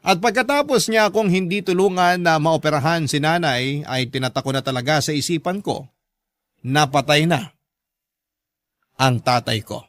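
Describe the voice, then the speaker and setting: Higher-voiced man narrating an emotional story. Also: normal speed, a bit bright, no room sound, clean studio sound. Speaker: radio host and story narrator. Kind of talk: dramatic first-person reading of a listener's letter.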